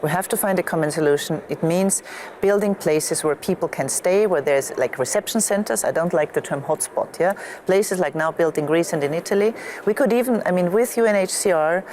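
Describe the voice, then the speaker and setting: A woman's voice: lowish voice, a little fast, a little mellow, slight background noise. A woman arguing as a politician on a panel, emphatic and opinionated, often tagging points with a questioning "yeah". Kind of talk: spontaneous political debate. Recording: faint background ambience.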